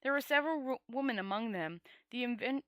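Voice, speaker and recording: Bright-voiced woman reading a story aloud, halting. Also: a little high, expressive, no room sound, clean studio sound. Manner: halting, often stumbling and correcting herself. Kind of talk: amateur read-aloud audiobook narration.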